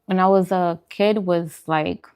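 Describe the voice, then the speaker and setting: A woman's voice: lowish voice, fairly even intonation, unhurried, darkish tone, clean tone. A woman chatting casually on a livestream as host while she eats, informal and self-deprecating in her manner. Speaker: vlogger hosting a live mukbang stream.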